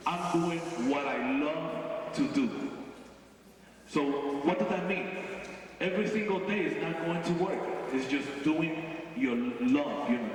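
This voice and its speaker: A man from Boston giving an earnest motivational speech to students at a school, slowing down and repeating his key lines. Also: on the high side, slight background noise. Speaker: guest speaker at a school. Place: school hall or gym. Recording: echoey hall, voice from far off.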